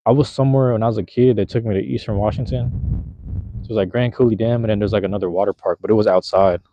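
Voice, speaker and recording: A man's voice: lowish voice, steady tone, fast talker, dark tone. A young man hosting a casual live chat from a phone, outdoors at night, talking in a laid-back, slangy, rambling way. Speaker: livestream host. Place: outdoors at night in a park, cold drizzle. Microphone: handheld phone. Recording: slightly dull lo-fi phone audio.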